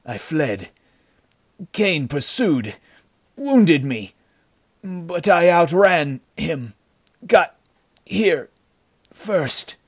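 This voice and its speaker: A man's voice: medium pitch, animated, slightly rough, dry recording, clean studio sound, pitched above the performer's own voice. Man acting a gasping, mortally wounded bandit. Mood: horrified, failing. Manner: halting, strained, broken phrases. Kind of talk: dramatic storybook character acting.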